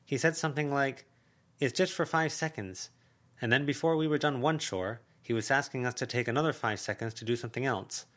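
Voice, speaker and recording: A man's voice: mid-range voice, brisk, a bit bright, voice pushed up, close to an everyday voice. A man voicing a guarded teenage girl who speaks hesitantly and dryly. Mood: complaining.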